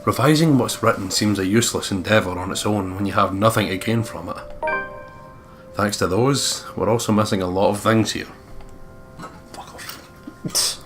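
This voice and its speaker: A man's voice: fairly low pitch, normal speed, pauses often. A man hosting a let's play, commenting casually and reading game text aloud in a jokey, sweary way. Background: game music.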